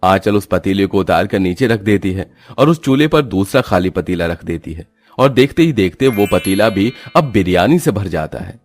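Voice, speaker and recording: A man's voice: sits low, expressive, normal speed, few pauses, faint music behind. A man narrating a scripted cartoon story.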